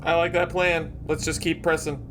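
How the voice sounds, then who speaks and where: A man's voice: on the high side, little pitch movement, normal speed, noisy background. A man talking casually as a player in a tabletop role-playing game, calling out choices and dice.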